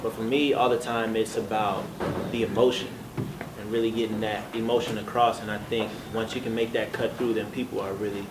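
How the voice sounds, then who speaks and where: A man's voice: on the low side, level tone, a little fast. A man talking casually and emphatically as a music artist on a panel, in a noisy, echoey room. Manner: emphatic, repeats "you know what I'm saying". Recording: echoey room, distant, clear background noise.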